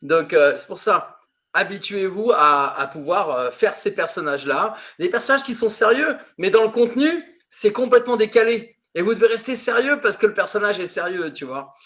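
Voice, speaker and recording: A man's voice: high voice, expressive, normal speed, a bit bright. A man teaching a teen improv class over a video call, teasing the students with lots of laughs and fillers.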